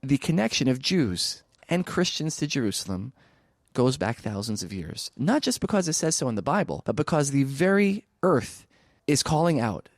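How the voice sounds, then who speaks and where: A man speaking as an interview guest, arguing persuasively and emphatically, with rhetorical questions and deliberate pauses for stress. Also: medium pitch, big pitch swings, dry recording, clean studio sound. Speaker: interview guest, archaeology advocate. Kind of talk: persuasive spoken argument in a radio interview.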